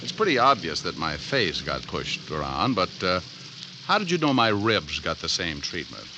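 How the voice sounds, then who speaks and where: A tough American private eye in an old radio drama. The voice sits low and the delivery is sardonic and hard-boiled. The old recording is thick with noise. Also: male voice, sing-song, moderate pace. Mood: probing, menacing. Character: tough, wisecracking male private detective. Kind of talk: 1940s radio detective acting with first-person narration.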